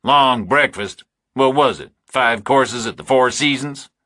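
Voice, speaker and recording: A man's voice: mid-range voice, measured pace, a bit bright, dry recording, clean studio sound, voice pushed up, natural voice. Man voicing a barking, bitter ex-colonel boss. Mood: sarcastic. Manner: barking, scornful questions. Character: commanding, angry ex-colonel boss, bitter and impatient.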